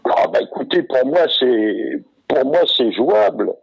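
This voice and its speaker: A man's voice: medium pitch, very animated, a little slow, creaky, breathy edge, no room sound. An older man chatting casually over the telephone, hesitant and repetitive, with familiar slangy turns. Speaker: entertainer, cruise performer. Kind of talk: casual phone chat. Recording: dull, muffled phone line.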